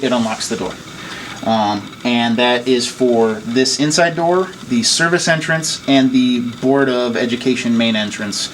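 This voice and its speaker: A man giving an informal hands-on training demonstration, explaining things plainly and step by step. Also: mid-range voice. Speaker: technician giving staff training. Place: indoors, at an equipment station. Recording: noisy room, clear background noise.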